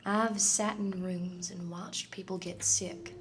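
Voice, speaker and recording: A woman acting a radio play monologue as a dreamy, unsettled woman obsessed, intimate and confessional, often slipping into breathy whispers. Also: mid-range voice, expressive, slow, a bit bright, a little hiss or hum. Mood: haunted, wistful. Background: music and sound design.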